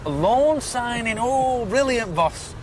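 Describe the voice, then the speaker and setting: A man's voice: extremely high pitch, expressive, slow, slight background noise, full character voice. A man playing a chummy, cheeky assistant to a football boss, in comedy acting.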